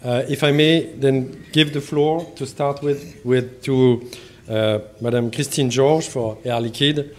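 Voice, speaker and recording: French man, halting formal remarks. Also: mid-range voice, steady tone. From France. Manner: halting, many fillers and restarts. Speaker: panel moderator and diplomat.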